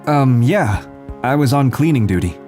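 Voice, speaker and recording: An earnest high school boy acted by a man in an anime-style manga dub, secretly a bodyguard. He speaks at a middle pitch in a measured way, with music clearly playing behind him. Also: expressive, dark tone, close to an everyday voice. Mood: mild, modest. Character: teenage boy, secret bodyguard posing as meek student.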